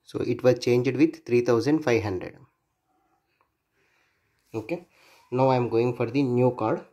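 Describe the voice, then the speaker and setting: A man narrating a hands-on electronics project demo at a workbench, thinking aloud in a halting way and spelling out digits and letters as he goes. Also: medium pitch, dark tone. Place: indoors at a workbench.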